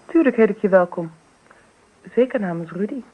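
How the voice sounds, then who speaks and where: A woman plays a cool, self-possessed wife and mother in a radio play. She sounds matter-of-fact and direct, with a medium-pitched voice and firm, businesslike delivery. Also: expressive, normal speed, phone-quality sound, close to an everyday voice. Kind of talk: Dutch radio drama acting. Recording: radio drama recording.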